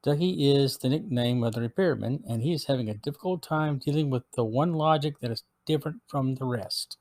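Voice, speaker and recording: A man hosting a podcast, reading a scripted episode review in a measured way. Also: medium pitch, a little mellow, dry recording. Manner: measured, read-aloud delivery. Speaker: podcast host.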